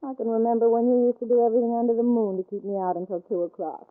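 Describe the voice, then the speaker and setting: An actress playing a witty, teasing wife eager to play detective, arch and playful in 1940s radio drama style. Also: female voice, a little high, level tone, very fast, lightly acted. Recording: tinny, band-limited old radio recording.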